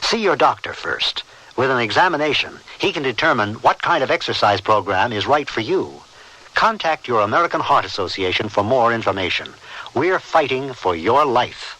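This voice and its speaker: A man's voice: medium pitch, expressive, normal speed, sharp tone, rough recording. A man reading a scripted public service health announcement for radio.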